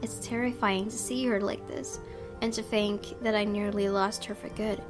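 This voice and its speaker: A woman's voice: mid-range voice, steady tone, moderate pace. A woman gaming host, reading a visual novel aloud expressively and adding her own casual commentary. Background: game music.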